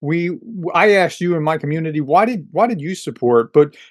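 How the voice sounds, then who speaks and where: A man's voice: fairly high pitch, animated, fairly quick, dry recording. Friendly man explaining in a dry voice. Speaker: co-host, fellow channel host. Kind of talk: casual chat.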